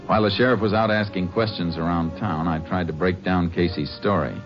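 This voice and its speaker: An actor playing a calm, methodical male Texas Ranger who questions in a measured way in a 1950s western radio drama. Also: low voice, close to an everyday voice. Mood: narrating. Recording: dull vintage 1950s radio recording with music.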